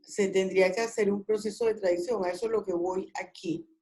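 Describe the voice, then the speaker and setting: A woman's voice: medium pitch, steady tone, a little fast, dark tone. A woman, a law professor leading an online class discussion in a didactic way, often questioning students. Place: video call.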